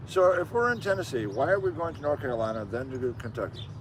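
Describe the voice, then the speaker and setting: A man's voice: medium pitch, sing-song, fast. A man co-hosting a casual outdoor live stream, cracking crude jokes and teasing his partner. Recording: noisy outdoor stream audio.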